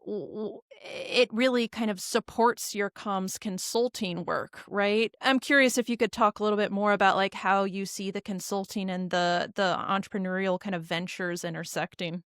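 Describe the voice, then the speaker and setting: A woman's voice: medium pitch, steady tone, moderate pace, hardly any pauses, fairly bright tone, clean tone, no room sound, studio quality. A woman hosting a podcast, asking her guest questions in a friendly, upbeat and loose way. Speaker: podcast host. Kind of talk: casual interview talk.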